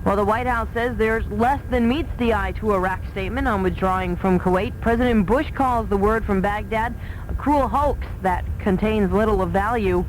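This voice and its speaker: A woman's voice: mid-range voice, moderate pace. A woman hosting a midday radio newscast, reading scripted news on an AM broadcast taped off air. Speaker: radio news host and newsreader. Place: radio studio. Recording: noisy AM radio aircheck off tape.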